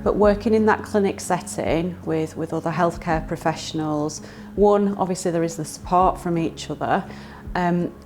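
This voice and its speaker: A woman's voice: fairly low pitch, fairly even intonation, normal speed, darkish tone, soft background music. A woman physiotherapist talking about her work, reflective and earnest, with some fillers.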